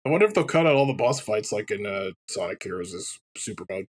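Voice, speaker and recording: A man's voice: fairly low pitch, lively intonation, brisk, breathy edge. A man co-hosting a let's play, chatting casually and jokily about games. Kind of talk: casual gaming chat.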